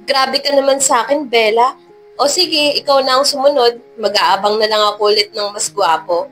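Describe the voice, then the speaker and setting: A woman's voice: a little high, moderate pace, a bit bright, soft background music, lightly acted. A woman voicing a friendly, chatty young saleslady with a bubbly, teasing manner in a narrated love story. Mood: mock hurt.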